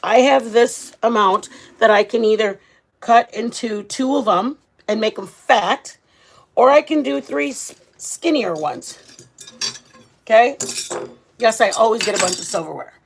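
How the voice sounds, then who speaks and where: A woman's voice: a little high, expressive, measured pace, gravelly. A woman hosting a home cooking vlog, talking casually and informally to her viewers in her kitchen. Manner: chatty, informal, addresses viewers as guys. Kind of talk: casual cooking demonstration to camera.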